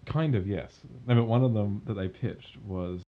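A young man with an Australian accent, co-hosting a casual podcast chat in a joking, crude, playful way. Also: normal speed. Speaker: podcast co-host. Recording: dull lo-fi test recording with faint rumble.

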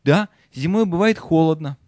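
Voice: mid-range voice, moderate pace, darkish tone, no room sound, studio quality, natural voice. An actor playing a curious, earnest young boy in a children's audio play, with eager, simple phrasing.

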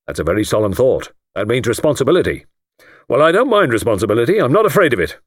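A man's voice: medium pitch, sing-song, fast, no echo, studio quality, pitched above the performer's own voice. A man voicing a pompous, boastful self-made older lord who talks in a blustering, self-satisfied way.

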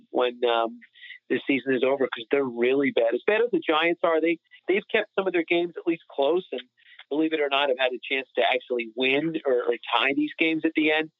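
A man's voice: mid-range voice, no echo. A man giving blunt, critical opinions in casual sports talk, heard over a tinny, narrow line. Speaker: podcast panelist.

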